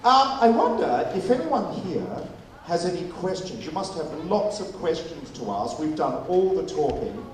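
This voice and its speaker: Echoey male stage host, fairly high voice. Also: sing-song, moderate pace. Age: 40s. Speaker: actor hosting audience Q&A after a show. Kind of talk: live stage question-and-answer hosting. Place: performance venue before a live audience. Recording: very echoey venue, distant mic, crowd noise.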